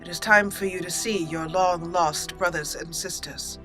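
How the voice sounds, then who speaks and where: A woman giving roleplay let's play commentary as a gaming host, with theatrical, deliberate phrasing. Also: lowish voice, steady tone, a bit bright, faint music behind.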